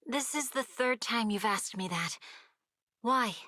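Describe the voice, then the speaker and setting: A woman's voice: a little high, slow pace, pushed and bright, dry recording, clean studio sound, heavily acted. An actress playing a stoic, proud young noblewoman who wields a sword in a video game, speaking in a formal, composed, terse manner. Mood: cool, composed. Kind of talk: dramatic video game voice acting. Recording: music behind the dialogue.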